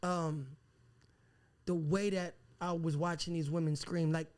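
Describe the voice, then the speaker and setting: A man's voice: on the high side, unhurried. A young man of Puerto Rican roots, a musician guest on a podcast, telling stories animatedly in casual talk. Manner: animated storyteller, acts out voices.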